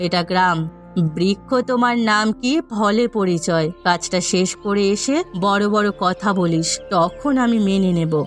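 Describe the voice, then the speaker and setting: A woman's voice: mid-range voice, measured pace, soft background music, lightly acted. A woman acting a bossy, calculating rich lady who runs a band of thieves in a cartoon story. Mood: stern, cautioning. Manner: commanding, calculating.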